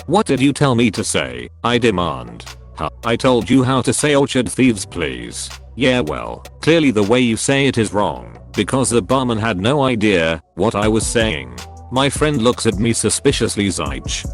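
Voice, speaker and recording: Give this a man narrating online stories in a slightly low voice. His pitch moves around a lot as he reads the scripted posts. Soft music plays behind him.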